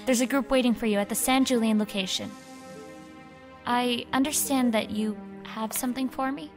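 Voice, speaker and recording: A woman's voice: on the high side, steady tone, moderate pace, faint music behind, close to an everyday voice. An actress playing a businesslike but wary female contact in an audio drama.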